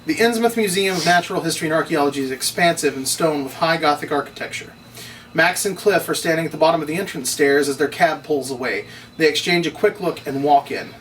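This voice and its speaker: A man's voice: on the high side, fast, fairly bright tone. A man reading stage directions as scripted narration at a table read. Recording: distant mic, noisy room ambience.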